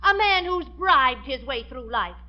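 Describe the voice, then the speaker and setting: An actress playing an earnest wife giving an emphatic campaign speech, in 1940s radio comedy style. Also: female voice, high voice, big pitch swings, a little slow. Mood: accusing, fervent. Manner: emphatic, oratorical. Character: earnest, fiery wife campaigning for local office. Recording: dull old radio recording.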